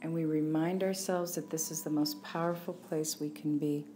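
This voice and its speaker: A woman with a slightly low, soft-toned voice guides a meditation. She speaks calmly and takes her time, with long pauses between phrases. There is some light background noise in the recording. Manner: calm, unhurried, with long pauses. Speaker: meditation teacher.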